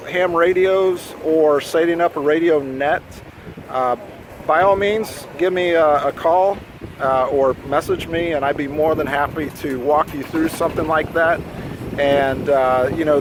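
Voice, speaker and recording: Guy vlogging casually, slightly high voice, lots of outside noise behind him. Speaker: vlogger, amateur radio enthusiast. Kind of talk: informal talk to camera. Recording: outdoor recording with clear ambient noise.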